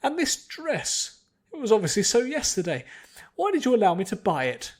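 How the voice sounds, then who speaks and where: Man putting on a shrill, snooty young noblewoman. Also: huge pitch swings, normal speed, exaggerated voice. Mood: indignant, scornful. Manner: pretentious, haughty, demanding. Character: snooty, spoiled, nasty rich young noblewoman.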